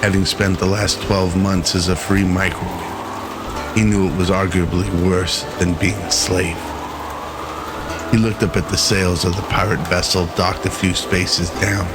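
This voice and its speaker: A man narrating a scripted radio drama in a measured, solemn storytelling manner. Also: sits low, flat delivery, over music.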